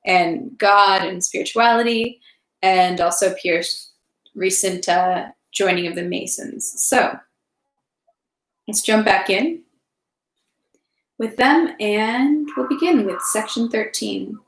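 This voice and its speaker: Woman, medium pitch, informal livestream reader, casual delivery, echoey room sound. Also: slow pace, pauses often, a bit bright. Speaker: livestream host reading a novel aloud. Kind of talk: casual livestream chat and storybook read-aloud.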